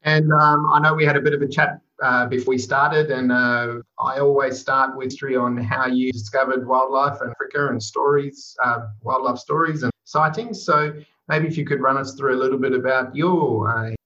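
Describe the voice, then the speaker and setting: A man hosting a podcast, asking relaxed questions with lots of "uh" and "um". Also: medium pitch, moderate pace, hardly any pauses. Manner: relaxed, hesitant with many fillers. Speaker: podcast host. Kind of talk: casual interview. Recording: dull lo-fi sound.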